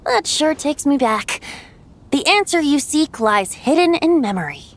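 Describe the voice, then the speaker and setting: A woman's voice: sits high, big pitch swings, a little slow, a little hiss or hum. A woman voicing a cheerful boyish bard god. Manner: cheerful, teasing, laughs often. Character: playful young male bard god. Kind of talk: game voice acting. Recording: game audio with music behind.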